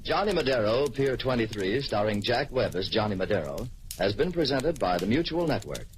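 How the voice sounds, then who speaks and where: A man reading scripted credits as a formal, declamatory 1940s radio announcer. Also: fairly low pitch. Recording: noisy old radio broadcast recording.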